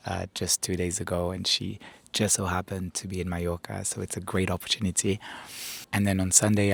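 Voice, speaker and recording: A man in his thirties vlogging to a handheld camera with cheerful, chatty enthusiasm, his voice a little hoarse. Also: deep voice. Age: 30s. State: hoarse, recovering voice. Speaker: travel vlogger. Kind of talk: casual vlog to camera. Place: outdoors on a rooftop terrace. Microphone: small handheld camera at arm's length.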